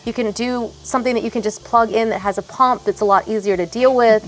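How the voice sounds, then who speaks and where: A woman's voice: on the high side, fairly even intonation, fast, low-quality recording. A woman in her thirties hosting a home makeover video outdoors, chatting enthusiastically and laughing often. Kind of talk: casual on-camera chat and tips. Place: outdoors in a backyard garden.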